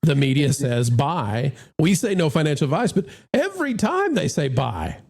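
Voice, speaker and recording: A man's voice: fairly high pitch, very animated, moderate pace, vocal fry, darkish tone, slightly rough. A man hosting a casual panel discussion about the entertainment business.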